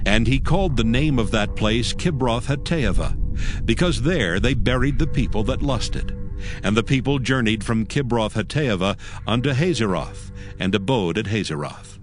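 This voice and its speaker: A man reads scripture as a narrator. His mid-pitched voice is measured and solemn, telling the story over clear background music.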